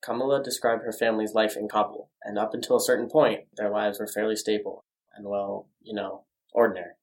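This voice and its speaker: A man reading scripted feature narration in a measured, explanatory way. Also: lowish voice, little pitch movement, a little mellow, low-quality recording. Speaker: reporter, narrator.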